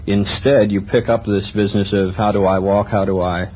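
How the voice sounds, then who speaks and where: An American man interviewing a guest for radio in a measured, formal broadcast style. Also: fairly low pitch, level tone, darkish tone, poor audio. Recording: faint hiss, 1970s radio tape.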